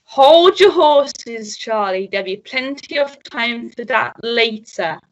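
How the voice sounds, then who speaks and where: A woman playing a commanding, bossy male police sergeant with deliberate delivery in a radio melodrama. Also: a little high, expressive, muffled recording. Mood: scolding. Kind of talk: melodramatic radio play acting.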